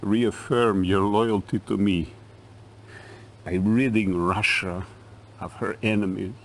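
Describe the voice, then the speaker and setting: An actor playing an imperious, menacing Soviet dictator in a video game cutscene, commanding in manner. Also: male voice, on the low side, steady tone, takes it slowly. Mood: cold, menacing.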